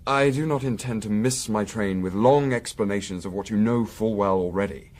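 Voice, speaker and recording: An actor playing a discreet, shrewd young Victorian student, speaking in a measured, formal, dryly ironic way in a period audio drama. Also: male voice, on the low side, a little mellow, natural voice. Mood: cool, incisive.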